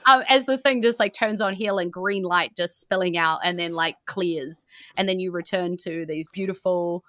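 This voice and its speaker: A woman's voice: lowish voice, moderate pace, fairly bright tone, no room sound, studio quality. A woman improvising narration as the game master of a tabletop roleplaying game, in an informal way.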